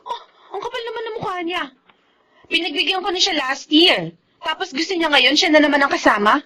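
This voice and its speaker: A woman's voice: very high voice, animated, a little fast, pushed and bright, exaggerated voice. An actress playing a bitter, protective young separated mother in a Filipino radio drama, with a Filipino accent. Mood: angry, hurt.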